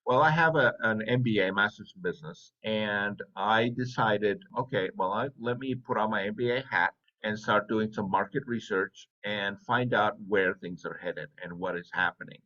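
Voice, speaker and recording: A man's voice: on the low side, moderate pace, few pauses, sharp tone. A man in his fifties who grew up in Saudi Arabia, talking casually as an interview guest with conversational asides. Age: 50s. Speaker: interview guest, business owner. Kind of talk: casual personal storytelling. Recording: dull lo-fi audio.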